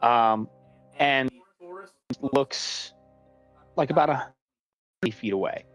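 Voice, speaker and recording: A male dungeon master narrates a tabletop fantasy game in an unhurried, deliberate way. His mid-pitched voice sets out each scene phrase by phrase, with faint music underneath. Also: fairly bright tone, rough recording. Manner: deliberate descriptive narrator, pausing between phrases. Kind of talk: improvised narration of a tabletop role-playing game.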